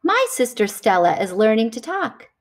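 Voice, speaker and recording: A woman reading a picture book aloud to children in an expressive, deliberate storytelling style. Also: fairly high pitch.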